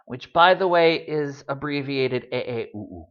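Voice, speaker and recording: A man's voice: medium pitch, animated, a little slow, clear, resonant tone, highs cut off. A man giving a casual Spanish lesson, full of wry asides and ums. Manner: chatty, wry asides, many ums and uhs. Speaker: Spanish teacher.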